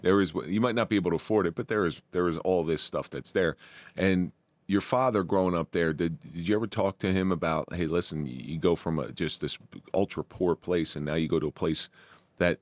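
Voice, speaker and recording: A man's voice: low-pitched, brisk, dry recording. A man hosting a podcast, chatty and opinionated, making jokey asides as he asks questions. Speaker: podcast host. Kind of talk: casual interview.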